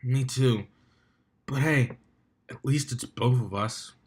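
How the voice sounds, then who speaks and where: A man's voice: medium pitch, slow pace, natural voice. Man voicing an earnest, easygoing stallion flyer. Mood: sincere, sheepish. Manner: casual, sincere. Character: earnest, easygoing male pony stunt flyer.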